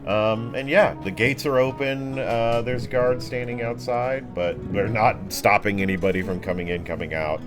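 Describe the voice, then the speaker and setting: A man narrating a tabletop fantasy game as game master, improvising descriptions casually with slangy asides. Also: medium pitch. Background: background music.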